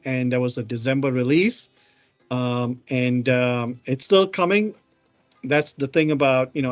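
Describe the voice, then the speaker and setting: A man's voice: mid-range voice, dry recording. A man from Malaysia co-hosting a casual tech show, talking loosely with many fillers while demoing his screen over a video call. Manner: chatty, many fillers, laughs easily.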